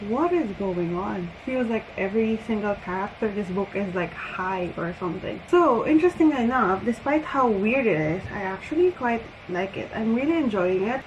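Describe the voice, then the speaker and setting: A woman chats informally to her viewers in a book vlog. Her voice sits at a middle pitch, and her delivery is conversational, rambling and giggly. She records indoors at home, with clear background noise. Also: muffled recording. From Philippines. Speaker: book vlogger. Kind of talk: chatty, informal video diary to viewers.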